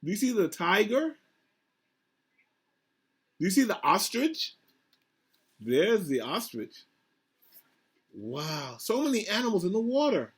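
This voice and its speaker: High-pitched, very animated man reading aloud. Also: breathy edge. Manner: simple, instructive, pausing to ask questions. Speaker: school principal. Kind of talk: picture-book read-aloud to young children with questions.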